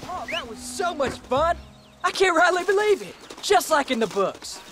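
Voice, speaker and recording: A moody boyish American teenager. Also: male voice, extremely high pitch, big pitch swings, moderate pace, a little hiss or hum, exaggerated voice. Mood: eager. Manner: boyish, defensive. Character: moody, eager teenage son of a rancher. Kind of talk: video game acting. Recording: loud music behind the dialogue.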